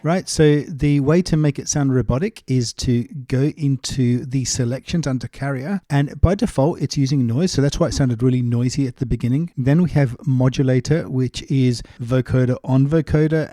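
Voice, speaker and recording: A man giving a casual, step-by-step software tutorial, explaining things as he goes. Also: medium pitch, no room sound, studio quality. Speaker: music production tutorial presenter.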